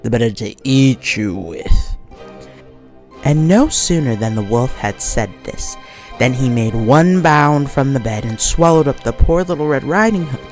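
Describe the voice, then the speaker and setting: A woman narrating a scripted children's story as an expressive read-along storyteller. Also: extremely low pitch, moderate pace. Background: soft music.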